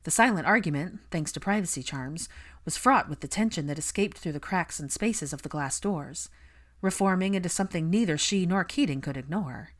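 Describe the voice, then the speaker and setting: Low-pitched woman narrating a story. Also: fairly quick. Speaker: audiobook narrator of fan fiction.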